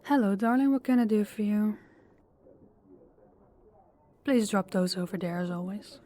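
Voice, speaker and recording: A woman playing a composed, stern female crime boss in an audio drama, speaking deliberately and with authority. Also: mid-range voice, mellow, slight background noise, close to an everyday voice. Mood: smooth, friendly. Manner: authoritative, deliberate, clipped orders. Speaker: voice actor. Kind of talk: audio roleplay drama acting.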